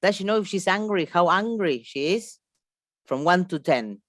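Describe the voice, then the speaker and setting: A woman's voice: on the low side, animated, normal speed, no room sound, clean studio sound. A woman with an Argentine Spanish accent presenting a teacher-training seminar, speaking spontaneously and emphatically, repeating phrases and checking in with short questions. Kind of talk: online seminar lecture, spontaneous.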